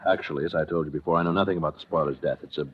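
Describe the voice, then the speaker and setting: An actor playing a suave, evasive nightclub boss with formal manners in a 1950s radio crime drama, on a muffled vintage recording. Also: male voice, a bass voice, fairly even intonation, rapid-fire, slight background noise. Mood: nervous, evasive. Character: smooth, shifty male nightclub boss and racketeer.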